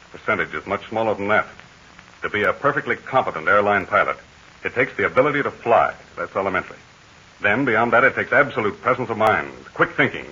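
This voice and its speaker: A steady, deep-voiced heroic aviator. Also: male voice, slightly rough, full character voice. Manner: calm, assured, lecturing in measured fatherly tones. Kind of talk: 1940s radio acting. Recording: dull scratchy 1940 radio transcription.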